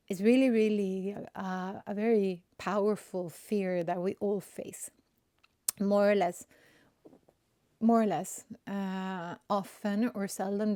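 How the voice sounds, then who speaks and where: A woman with a Swedish accent, a spiritual coach and healer, talking gently and searchingly in an unscripted inspirational monologue. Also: medium pitch, no echo, clean studio sound. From Sweden. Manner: gentle, searching, with restarts and soft fillers.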